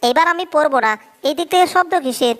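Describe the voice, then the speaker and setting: Cartoon dub role: a little scheming mouse, very high-pitched, whiny and greedy. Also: fast talker, a bit bright. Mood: frightened. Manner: whining, exclamatory, self-narrating. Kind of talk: Bengali comedy cartoon dub.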